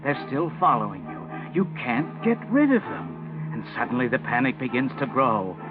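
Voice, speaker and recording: An actor playing a taunting, urgent narrator in a 1940s radio crime mystery. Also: male voice, medium pitch, big pitch swings, moderate pace, close to an everyday voice. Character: ominous, taunting male narrator of a crime tale. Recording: tinny 1940s radio recording, music behind.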